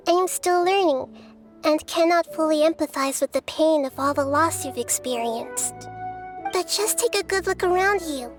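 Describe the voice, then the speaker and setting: A woman voicing a wise, compassionate young girl deity in a video game, speaking in a measured, soft-spoken, thoughtful way. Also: very high voice. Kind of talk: scripted video game dialogue.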